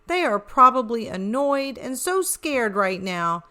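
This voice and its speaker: Woman voicing a bright, earnest young beagle girl. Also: a little high, very animated, unhurried, full character voice. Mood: tearful, sorry. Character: young female beagle, curious, adventurous, earnest storybook heroine.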